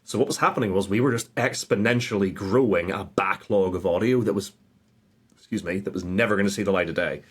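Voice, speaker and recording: A man's voice: on the low side, a little fast, breathy edge. A man giving casual, informal answers as a podcast guest, with a self-deprecating way of talking. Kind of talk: casual conversational interview answers.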